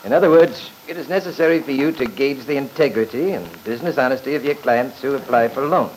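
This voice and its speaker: An actor playing a shrewd, courtly lawyer who questions in a measured, formal, probing way, in old-time radio drama style. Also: male voice, mid-range voice, lightly acted. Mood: probing, deliberate. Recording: noisy vintage radio recording.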